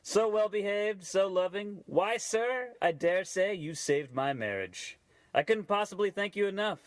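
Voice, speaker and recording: High male voice, gushing grateful townsperson, acted by a man, animated, folksy, dry studio, radio comedy. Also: measured pace, no room sound. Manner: effusive, folksy, earnest. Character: gushing, grateful townsfolk, sweetly admiring the crook. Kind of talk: parody old-time radio play acting.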